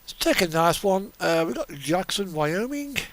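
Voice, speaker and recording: Male streamer, higher-pitched voice, lively intonation, casual gameplay chat, thinking out loud. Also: a little slow, a little hiss or hum. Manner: thinks aloud, chatty. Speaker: game streamer. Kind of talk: casual live gameplay commentary.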